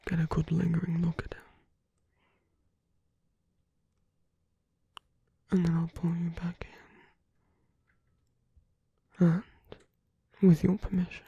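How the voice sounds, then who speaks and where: A woman performs a flirtatious villain in an intimate audio roleplay. The voice is very husky and breathy, dark and low, slipping into coaxing whispers that are teasing and tender toward an enemy. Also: no room sound, lo-fi sound, heavily acted. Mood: playful, seductive. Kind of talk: intimate ASMR roleplay acting.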